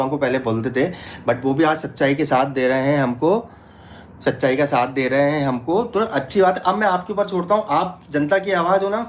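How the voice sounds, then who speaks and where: Guy vlogger with a middling pitch, casual talk, keeps butting in n repeating himself, bit noisy room. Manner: interjecting, repeats phrases insistently. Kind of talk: informal talk to viewers and companions.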